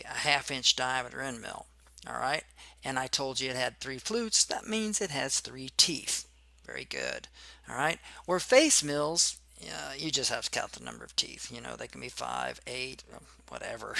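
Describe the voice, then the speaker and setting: A hoarse man teaching as a machining instructor in a casual, rambling screen-recorded tutorial full of fillers. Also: mid-range voice, pushed and bright, dry recording.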